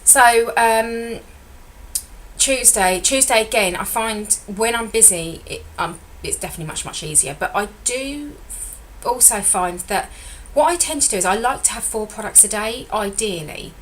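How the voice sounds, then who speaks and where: A middle-aged woman with a slightly croaky voice, giving a chatty, rambling diet vlog update as a weight loss consultant and often correcting herself. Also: on the high side, lively intonation, moderate pace, a bit bright, slight background noise, low-quality recording.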